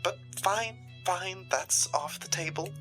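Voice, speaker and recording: A woman's voice: on the low side, takes it slowly, music in the background. A woman playing a haughty, sardonic, ruthless occult shopkeeper in a theatrical, condescending drama style. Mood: grave, bargaining. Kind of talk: dramatic audio roleplay acting.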